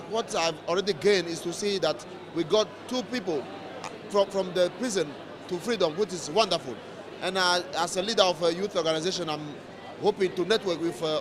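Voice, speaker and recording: A man's voice: sits high, a little fast, a crowd in the background. A young man who leads a youth organization, talking earnestly and enthusiastically in a vox pop interview.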